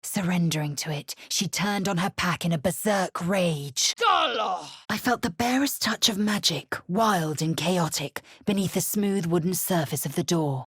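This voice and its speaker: A stern, imperious alien warrior woman for a video game. Her voice sits low and has a husky grain. She speaks slowly in formal, clipped phrases, proud and fierce. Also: lively intonation, dry recording, studio quality, exaggerated voice. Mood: grave, recounting. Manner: clipped, formal, haughty delivery. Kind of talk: video game character voice acting.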